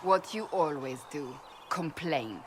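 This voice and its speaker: An actress playing a curt, stern, exasperated officer in a video game. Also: female voice, sits low, expressive, slow, slight background noise.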